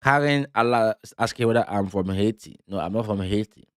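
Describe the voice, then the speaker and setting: Halting man giving live trading commentary. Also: on the low side, smooth, dry recording, studio quality. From Cameroon. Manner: loose, halting delivery with many fillers and restarts. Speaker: crypto trading streamer.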